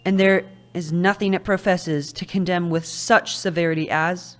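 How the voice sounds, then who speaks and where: A woman's voice: fairly low pitch, fairly even intonation, moderate pace, faint music behind, natural voice. A woman playing young Scrooge, cool and defensive.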